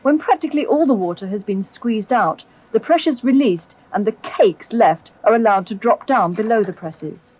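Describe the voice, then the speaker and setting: A British woman reading scripted narration for an educational film, explaining in a clear, measured way. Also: a little high, expressive, a little mellow, a little hiss or hum. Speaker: documentary narrator. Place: close, dry voice-over recording.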